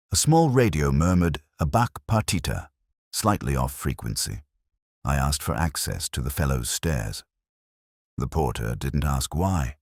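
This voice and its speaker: A man with a British accent narrating a detective story in the first person, measured, brooding and wry. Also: a bass voice, a little mellow, no echo, studio quality. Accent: British English.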